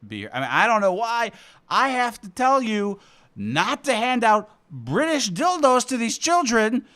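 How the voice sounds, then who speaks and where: An excitable cartoon panda, acted by a man at a table read, with a high, clear voice. He talks in halting bursts and exclamations, easygoing but quick to rant. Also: heavily acted. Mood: exasperated. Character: excitable digital cartoon panda, easygoing until ranting with rage. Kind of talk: animated comedy table read.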